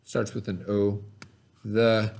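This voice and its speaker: A man teaching by reading aloud to pupils, pausing to explain in casual asides and check that they follow. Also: fairly low pitch, completely flat delivery, creaky, a little mellow. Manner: pauses to explain, checks in with "okay?".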